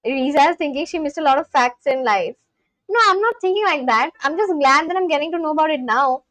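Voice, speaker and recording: Young woman, Delhi accent, high voice, dry close sound, chatty livestream talk, reads comments aloud. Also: lively intonation, a bit bright, no room sound. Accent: Indian English, Delhi. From India. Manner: chatty, reads chat comments aloud, quick apologetic asides. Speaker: live streamer. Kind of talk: casual livestream chat with viewers.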